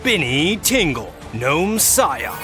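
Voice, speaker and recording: A man playing an excited announcer in a mock action figure commercial, punchy and dramatic. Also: fairly high pitch, takes it slowly, over music. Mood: hyped. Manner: punchy, dramatic, rattled-off disclaimers.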